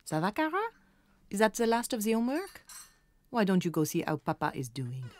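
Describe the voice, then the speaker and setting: A woman's voice: medium pitch, sing-song, a little fast, darkish tone, lightly acted. An actress playing a kindly French woman working at a hotel in a children's audio story, with a French accent. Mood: kindly, encouraging.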